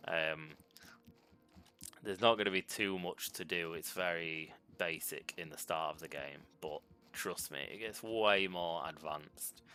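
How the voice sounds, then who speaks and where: A man's voice: low voice, sharp tone. A man casually talking through a video game as a channel host, thinking aloud with ums and self-corrections into a desk mic. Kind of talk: casual gameplay commentary. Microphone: desk microphone.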